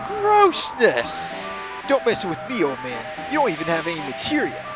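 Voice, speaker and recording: A man doing a bratty squeaky ninja girl. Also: wildly swooping pitch, moderate pace, over loud music. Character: feisty teenage girl ninja, cheeky.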